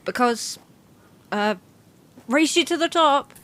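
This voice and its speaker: An earnest, well-mannered young boy in a period drama. The pitch moves up and down a great deal. The speech is hesitant and formal, with a shy eagerness. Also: lively intonation, takes it slowly, a bit bright, exaggerated voice. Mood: shy, playful. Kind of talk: period audio drama acting.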